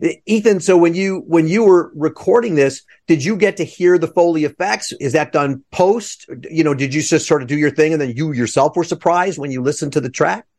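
Male interviewer, slightly high register, conversational and loosely rambling podcast delivery. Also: fairly quick, few pauses, darkish tone. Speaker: podcast host.

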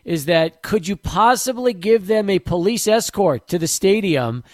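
Slightly high-voiced sports radio host. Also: male voice, expressive, a little slow, a little mellow, no echo, studio quality. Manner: conversational, relays news and listener questions. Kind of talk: sports talk radio hosting.

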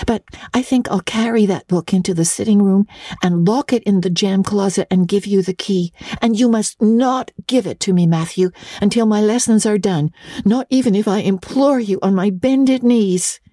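A woman's voice: medium pitch, moderate pace, dark tone, no room sound, studio quality, pitched above the performer's own voice, natural voice. A woman voicing a dreamy, talkative young girl in an audiobook, rambling eagerly in long breathless runs. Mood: chattering, earnest. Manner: breathless rambling girlish chatter. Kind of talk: audiobook reading.

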